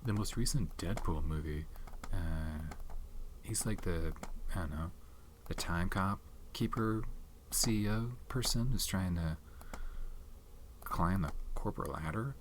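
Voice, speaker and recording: A man speaking softly in an ASMR video, chatting casually and rambling with many fillers and restarts. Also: sits low, a little hiss or hum. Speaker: ASMR channel host reviewing his film collection. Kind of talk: soft-spoken ASMR chat.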